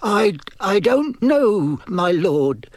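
A man's voice: high voice, expressive, a little slow, a little mellow, no room sound, voice pushed up, heavily acted. A man voicing a fearful, servile male henchman in an audiobook, nervous, hesitant and deferential.

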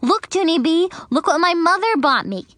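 A woman voicing an energetic, show-off little girl who brags excitedly about running fast. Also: a little high, no room sound, studio quality. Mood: excited bragging. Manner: bragging, excited.

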